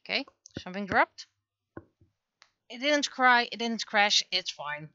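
Middle-aged female streamer, native Dutch speaker. Bright tone, rambling casual chat, slightly dull recording. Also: fairly high pitch, expressive, unhurried, dry recording. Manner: rambling, thinking aloud. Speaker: streamer and webcomic artist. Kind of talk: casual livestream chat while drawing. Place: indoors at a computer.

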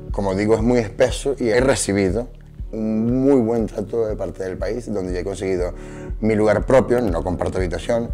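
A man in his thirties with a Venezuelan Spanish accent, telling his story casually in an interview, with hesitations and repeated words. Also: on the low side. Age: 30s. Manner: relaxed, repeats words for emphasis, frequent hesitations. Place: bright room indoors, seated on sofa. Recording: roomy sound with music under it.

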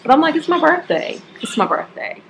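A woman in her forties, talking casually to camera at home about her monthly budget, chatty and expressive, calling her viewers y'all. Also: sits high, a little fast, background noise. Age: 40s. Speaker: budgeting vlogger. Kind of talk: casual direct-to-camera talk. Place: home staircase, indoors. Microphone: camera at close range.

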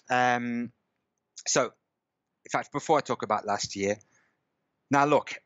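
A man's voice: medium pitch, a bit bright, dry recording. A middle-aged man tells his story in an easy, chatty way from a home room, stopping again and again to check that his listener is following. He speaks through a headset on a video call. The sound is a little dull and very dry. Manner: chatty storyteller, stops often to check in with "okay?" and "right?". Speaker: guest. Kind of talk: casual chat on a video call.

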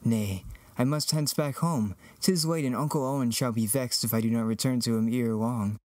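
Young farm boy hero played by a man, medium pitch, earnest, unsure, theatrical, dry recording. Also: moderate pace, darkish tone, dry recording, lightly acted. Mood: reluctant. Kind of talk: Shakespearean dramatic reading.

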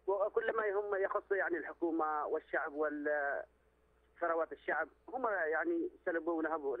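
A man's voice: fairly high pitch, no echo. Man calling in by phone, pushy and repetitive, phone-line sound. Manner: insistent, repeats phrases, many restarts. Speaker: phone-in caller. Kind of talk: political opinion on a call-in show. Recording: dry tinny phone line.

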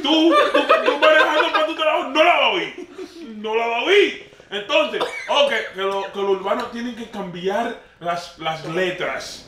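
A man with a Dominican accent in an animated casual group debate, speaking slangy and emphatic and repeating himself. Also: very high-pitched, moderate pace, a crowd in the background. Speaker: talk show participant. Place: small dim lounge indoors. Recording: roomy echo, far from mic.

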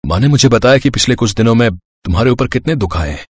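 A man's voice: mid-range voice, lively intonation, fast talker, slightly rough, no room sound, clean studio sound. A man voicing a helpful young police inspector in a cartoon story, earnest and reassuring.

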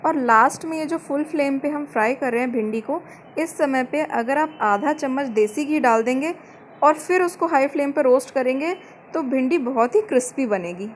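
A woman giving calm step-by-step cooking instructions as the host of a home recipe channel, mixing English words into her Hindi. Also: high voice, normal speed, slight background noise. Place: home kitchen.

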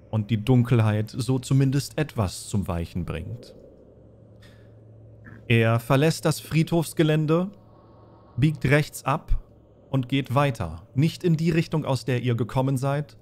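A man's voice: medium pitch, a little mellow. Man narrating as game master. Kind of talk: narrating a tabletop role-playing game.

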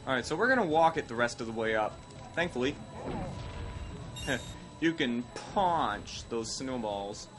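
Young Midwestern guy with a cold, mid-pitch, chatty and self-deprecating while he plays. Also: lively intonation, a little slow, long pauses. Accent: Midwestern American. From American Midwest. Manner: rambling, self-mocking, with sudden outbursts. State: sick, coughing. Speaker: let's play host. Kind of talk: casual gameplay commentary. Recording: game music playing loud underneath. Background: game music.

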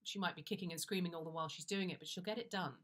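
A middle-aged British woman reading a comic first-person story in a conversational, wry and confiding way with expressive timing. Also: on the low side, rapid-fire. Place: indoors, plain-walled room.

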